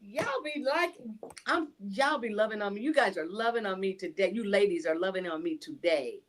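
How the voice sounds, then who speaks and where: A woman's voice: mid-range voice, lively intonation, normal speed. A woman from Illinois, a guest on a talk show, speaking earnestly in casual chat and often restarting her sentences.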